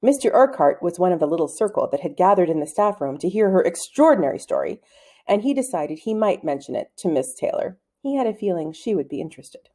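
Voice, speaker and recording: Mellow woman reading a storybook aloud. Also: on the low side, animated, fast. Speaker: read-aloud narrator. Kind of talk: expressive storybook read-aloud.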